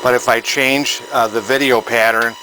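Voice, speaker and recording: A man's voice: mid-range voice, fairly even intonation, moderate pace, background noise. A man giving casual, hands-on repair commentary as a technician, plain-spoken and technical, thinking aloud as he works. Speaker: electronics repair technician and video host.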